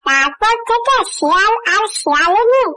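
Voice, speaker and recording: A woman's voice: very high-pitched, lively intonation, unhurried, bright, forceful tone, no echo, clean studio sound. A woman reading a scripted fairy tale for children as the storyteller.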